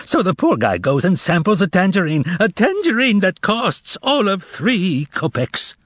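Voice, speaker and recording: A man's voice: mid-range voice, wildly swooping pitch, a little slow, dry recording. A man voicing a theatrical male trickster and former choirmaster, with a thin, tremulous voice and a wailing, sarcastic harangue. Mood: indignant.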